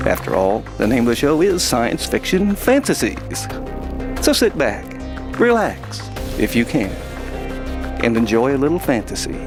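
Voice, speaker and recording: Male fantasy storyteller and host, medium register with fry, light music underneath. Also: medium pitch, huge pitch swings, unhurried. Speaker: host and narrator. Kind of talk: scripted fantasy story narration.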